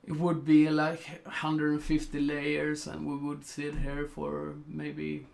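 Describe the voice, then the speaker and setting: A man from Sweden with a Swedish accent, talking through a live digital painting tutorial in slow, hesitant narration full of fillers. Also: a little high, completely flat delivery, creaky, darkish tone.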